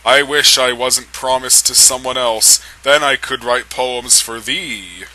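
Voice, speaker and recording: A man's voice: medium pitch, takes it slowly, sharp tone, poor audio. A man voicing a lovestruck, gallant young male vampire with overwrought, archaic courtly phrasing. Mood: tormented, regretful.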